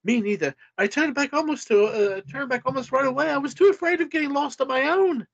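Man putting on an exasperated British young woman, high-pitched. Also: fairly quick, gravelly, no room sound, highs cut off. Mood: anxious. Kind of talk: reading a choose-your-path adventure story aloud.

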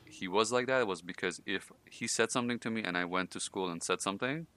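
A man's voice: on the low side, quick pace, pushed and bright. A man from Iran hosting a podcast, telling his own life stories in a casual, laughing way with many fillers. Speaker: podcast host.

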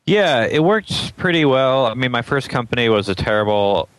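A man's voice: medium pitch, animated, moderate pace, no room sound, rough recording. A man from Atlanta, a startup founder as an interview guest, thinking aloud in casual chat with many ums and frequent chuckles.

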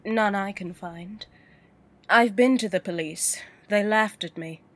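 A woman's voice: mid-range voice, a bit bright, dry recording. A woman playing a poised, composed rich client. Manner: cool, measured, dryly composed. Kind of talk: audio drama acting.

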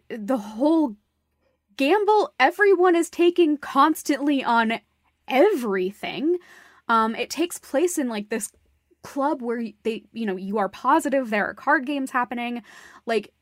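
Female podcast co-host, fairly high voice, very dry recording; casual, opinionated, analytical, loose with swearing. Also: expressive, unhurried, no room sound. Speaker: podcast co-host discussing a stage musical. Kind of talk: casual, opinionated critical discussion.

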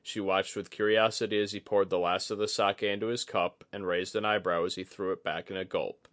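A man's voice: sits low, little pitch movement, a little fast, fairly bright tone, no room sound. A man reading a scripted fantasy story as an audiobook narrator.

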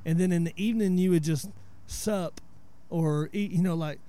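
A man in his forties with a Southern US accent talks in a relaxed, storytelling way. His voice sits a little high but sounds warm and dark. He explains where local words came from. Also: normal speed. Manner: laid-back storyteller, explains word origins. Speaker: podcast host. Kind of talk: casual chat.

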